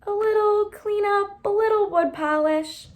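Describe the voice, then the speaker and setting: A woman's voice: very high-pitched, unhurried, voice pushed up. A woman voicing an anxious, sardonic teenage boy in a storybook, conspiratorial and dry. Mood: worried.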